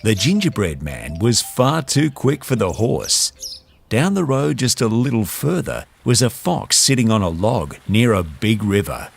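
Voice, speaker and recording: A man's voice: fairly low pitch, big pitch swings, a little slow, gravelly, husky. A man narrating a scripted children's story as its storyteller.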